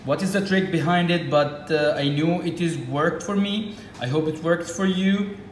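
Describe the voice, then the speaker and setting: An Egyptian man with an Arabic accent gives a casual, halting demonstration, with lots of fillers and restarts. His voice sits a bit high for a man. It is recorded on a phone in an echoey room with a faint hiss behind it. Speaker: reptile keeper. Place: indoors at a table.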